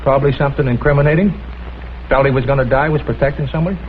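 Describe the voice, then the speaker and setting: An actor playing a skeptical police lieutenant in a vintage crime drama, talking in a dry, blunt way. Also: male voice, mid-range voice, fairly even intonation, racing, lightly acted. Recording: tinny old soundtrack with background hiss.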